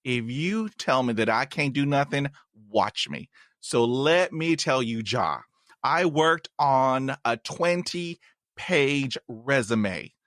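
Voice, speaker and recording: A man's voice: mid-range voice, expressive, slow, fairly bright tone, no echo, clean studio sound. A man hosting a podcast, chatting casually and telling stories, with stuttered repeats and blocks. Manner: stutters with repeated sounds, storytelling. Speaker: podcast host. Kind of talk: casual interview chat.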